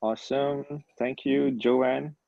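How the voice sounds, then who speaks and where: A man hosts a church meeting over a video call, calling on each speaker and answering with brief encouragement. His voice sits at a middle pitch. The audio is dry and muffled. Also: steady tone, slow pace, creaky, warm tone, dry recording. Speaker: meeting host.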